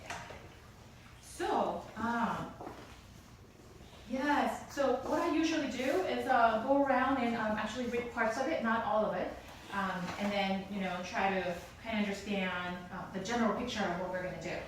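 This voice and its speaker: A woman's voice: fairly high pitch, normal speed, far from the mic, slight background noise. A woman teaching a class, encouraging and hesitant, with many fillers. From United States, East Coast. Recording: echoey classroom recorded from afar.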